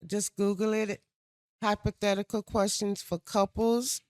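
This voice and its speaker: An older woman from the States, chatting with her daughter in a soft, gentle, unhurried way. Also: medium pitch, level tone, no room sound. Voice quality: soft-spoken. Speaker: mother and co-host. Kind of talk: casual chat.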